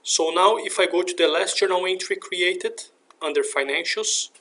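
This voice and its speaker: Higher-pitched man narrating a software tutorial. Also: moderate pace. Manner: step-by-step, explanatory. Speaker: software instructor.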